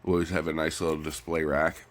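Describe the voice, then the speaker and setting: A man with a stuffy nose chats casually in a personal vlog, rambling and halting, often repeating his phrases. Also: a bass voice, little pitch movement. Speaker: vlogger. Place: recorded lying down.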